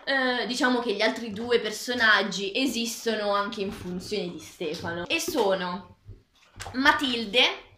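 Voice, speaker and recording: Bright-voiced young woman in an echoey kitchen. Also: medium pitch. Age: young adult, about 21. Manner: hesitant, searching for words. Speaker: vlogger reviewing a graphic novel. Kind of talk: casual semi-improvised book review to camera. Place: small tiled home kitchen, indoors. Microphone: camera across the table. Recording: echoey tiled kitchen, clear background noise.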